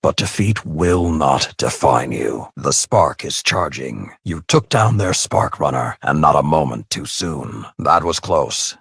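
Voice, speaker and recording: A man voicing a stern, martial match announcer in a video game, commanding and terse with a husky voice. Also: very deep voice, expressive, unhurried, few pauses, a bit bright, dry recording, clean studio sound, heavily acted. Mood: commanding, urgent. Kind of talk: scripted match commentary.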